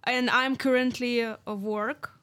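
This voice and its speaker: A young woman speaking English as a non-native speaker in a casual chat, halting and searching for words, with frequent giggles. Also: fairly high pitch, steady tone, fairly bright tone. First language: not English. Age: young adult.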